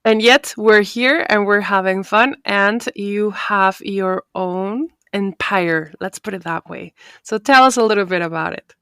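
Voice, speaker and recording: A woman's voice: mid-range voice, measured pace, a bit bright, smooth, dry recording, clean studio sound. A woman hosting an interview podcast, friendly and chatty, with joking asides. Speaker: podcast host.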